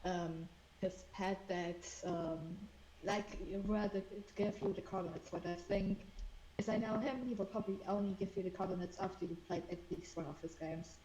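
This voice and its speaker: A woman roleplay player on voice chat with a loud voice, often stammering and restarting her sentences. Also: lowish voice, steady tone, moderate pace, highs cut off, slight background noise. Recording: echoey room voice chat.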